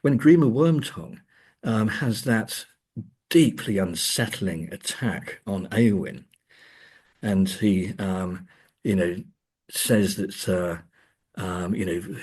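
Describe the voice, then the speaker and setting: A man speaking as an academic in a reflective interview, choosing words haltingly and often repeating them as he thinks. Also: low voice, a little mellow, husky. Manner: hesitant, halting, thoughtful phrasing with repeated words.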